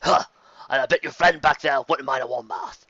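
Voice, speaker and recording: Man putting on a gruff, folksy old stallion barkeep. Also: normal speed, pushed and bright, no echo, lo-fi sound. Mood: busy, welcoming. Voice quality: deep, brassy voice. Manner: folksy, hospitable. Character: corpulent old stallion bartender, gruff but welcoming.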